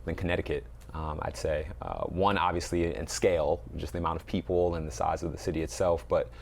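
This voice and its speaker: A man's voice: a bass voice, steady tone, brisk, creaky, a little mellow, slight background noise. A man giving thoughtful, reflective interview answers as a coach, feeling his way through his phrasing. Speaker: basketball coach, interview guest.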